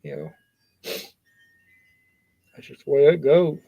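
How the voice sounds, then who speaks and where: A man's voice: on the high side, sing-song, drawn-out words, gravelly, warm tone, slightly rough. A man giving a casual, rambling safety talk in a folksy, earnest and blunt manner. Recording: dull, lo-fi sound.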